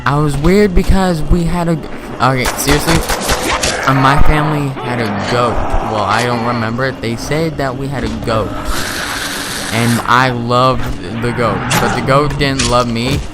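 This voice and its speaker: A man's voice: mid-range voice, steady tone, unhurried, very noisy. A young man in his teens rambling chattily while playing a video game at home at night, with jokey asides. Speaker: gaming video host. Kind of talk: casual gameplay commentary. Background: game sounds and music.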